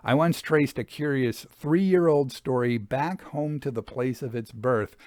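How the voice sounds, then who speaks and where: Male audiobook narrator, mid-range voice, expressive measured storytelling of period prose, very dry recording. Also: medium pitch, dry recording. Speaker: audiobook reader. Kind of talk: scripted literary narration of a Victorian travel memoir.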